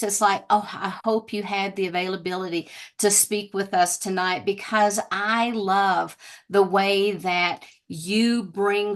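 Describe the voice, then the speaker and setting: Gushing woman host introducing a guest. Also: medium pitch. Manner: gushing, with chatty fillers. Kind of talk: introducing a guest in an online talk.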